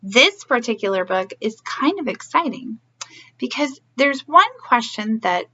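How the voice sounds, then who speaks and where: A woman's voice: on the high side, big pitch swings, a little slow, bright, forceful tone, dull audio, a little hiss or hum. A woman hosting a storybook read-aloud for young children, asking questions and explaining as she goes. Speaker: early learning read-aloud host.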